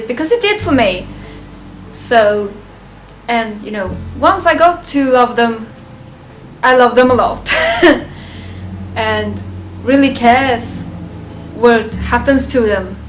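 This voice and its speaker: Slow-talking woman with a higher voice. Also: a little hiss or hum, poor audio. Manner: halting, thinking aloud, chuckles often. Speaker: reviewer presenting a ranked list. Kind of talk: casual unscripted fan review.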